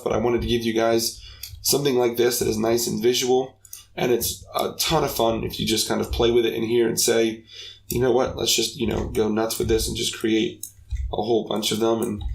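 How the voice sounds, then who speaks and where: Man, young adult, raspy gravelly voice, mid pitch, upbeat tutorial narration. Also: low-quality recording. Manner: upbeat, talks through each step, chatty asides. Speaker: game development tutorial host. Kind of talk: casual screen-recorded coding tutorial.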